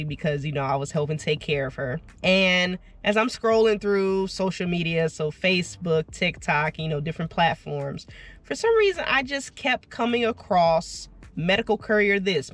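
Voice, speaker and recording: A woman's voice: fairly low pitch, fairly bright tone. A woman vlogging casually to her viewers, a bit congested and nasal from getting over an illness, chatty with frequent "you know" and "right?" asides. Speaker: vlogger and delivery driver. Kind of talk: casual vlog talk to camera.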